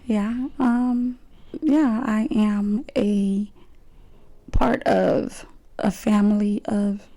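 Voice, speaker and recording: A woman's voice: mid-range voice, steady tone, extremely slow, vocal fry, mellow. A woman from Haiti, a police officer and mother, chatting as a podcast guest in a studio. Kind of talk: casual interview.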